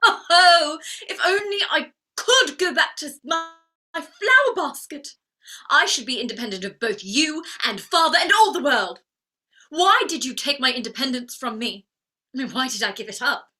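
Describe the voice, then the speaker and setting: A woman's voice: sits high, lively intonation, normal speed, bright, forceful tone. A woman playing a proud, wounded young flower girl turned lady in a theatrical play reading, defiant and plaintive. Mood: anguished, regretful. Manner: defiant, plaintive, emotionally outspoken.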